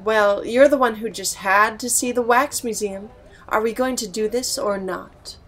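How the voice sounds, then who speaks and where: A woman playing a bossy, impatient, sharp-tongued demon girlfriend in an audio drama. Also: a little high, unhurried. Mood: impatient, sarcastic.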